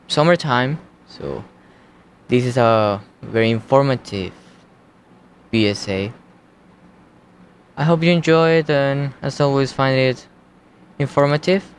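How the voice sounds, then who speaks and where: A young man with a Colombian accent hosting a college radio show, talking in a slow, halting, casual way. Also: medium pitch, slight background noise. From United States. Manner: slow, unhurried, halting delivery.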